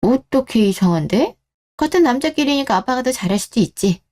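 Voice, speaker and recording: A woman's voice: high voice, lively intonation, brisk, warm tone. A woman playing a caring, perceptive older father in a scripted radio drama. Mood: probing, reasoning.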